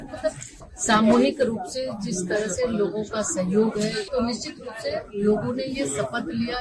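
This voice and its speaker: A woman's voice: medium pitch, low-quality recording. Woman speaking over a crowd. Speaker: interviewee commenting on a campaign. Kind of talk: recorded news soundbite. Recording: crowd audible behind.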